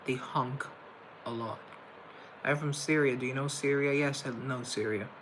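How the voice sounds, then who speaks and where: A man's voice: medium pitch, steady tone, normal speed, noisy background. A young man from Colombia hosting a casual livestream, rambling and thinking aloud while he reads viewer comments off a laptop. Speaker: livestream host reading chat. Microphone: laptop mic.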